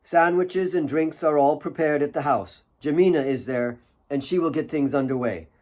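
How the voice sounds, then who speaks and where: A man voicing a big, motherly woman in an audiobook, calm, firm and courteous. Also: on the high side, moderate pace, lightly acted.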